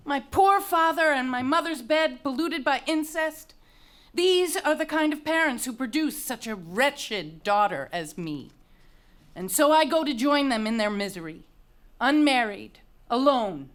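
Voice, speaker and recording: Young condemned princess, high voice, proud and anguished, declaiming Greek tragedy on stage. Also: female voice, lively intonation, a little slow, fairly bright tone. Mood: mournful, despairing. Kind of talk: dramatic reading of Greek tragedy.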